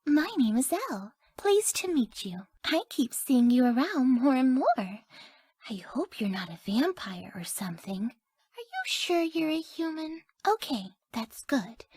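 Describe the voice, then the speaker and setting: A woman voicing a sweet, sleepy, scatterbrained young woman in a video game, with a soft, airy, naive delivery. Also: sits high, sing-song, a little slow, no echo, full character voice. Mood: shy, curious. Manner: soft, airy, gentle, often dropping to breathy near-whispers. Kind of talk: video game character voice lines.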